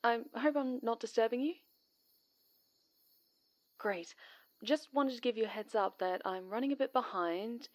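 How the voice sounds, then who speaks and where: A woman playing a young female character in an ASMR roleplay audio drama, with an expressive, dramatic delivery. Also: mid-range voice, natural voice. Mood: casual, teasing. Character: friendly young woman camper turned panicked, secretly sinister stalker.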